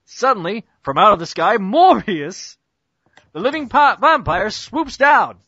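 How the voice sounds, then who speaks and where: A man's voice: high voice, sing-song, measured pace, sharp tone, husky, no echo, highs cut off. A man narrating a comic script in a mock-dramatic manner for friends on an internet voice call. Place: Skype group call.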